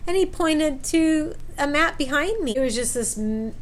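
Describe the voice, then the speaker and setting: A woman with a fairly high voice shares a personal story in a casual, chatty style. Her delivery is informal and animated, like someone recounting an experience directly to her viewers. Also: moderate pace, a little hiss or hum. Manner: animated retelling, acts out quoted dialogue. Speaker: storyteller vlogger.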